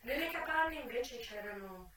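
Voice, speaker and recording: An actress playing a proud, worldly American brothel madam, delivering a dramatic, emphatic theatre monologue with deliberate pauses. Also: female voice, a little high, animated, pushed and bright, slight background noise. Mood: matter-of-fact. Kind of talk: theatrical first-person monologue. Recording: echoey stage sound, distant mic, music behind.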